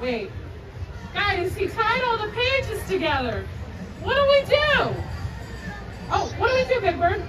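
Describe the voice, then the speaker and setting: A distant, echoey phone recording in a noisy outdoor crowd. A young woman hosts story time in a very high voice with lively swoops, coaxing small children along. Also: a little slow, long pauses. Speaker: theme park story time host. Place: outdoor theme park stage. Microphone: audience phone camera from several meters.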